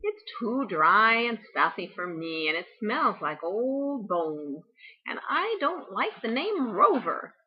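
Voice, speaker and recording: Woman reading as fussy generous goose, storybook, emphatic, unhurried, very husky, slightly muffled recording. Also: medium pitch, very animated, exaggerated voice.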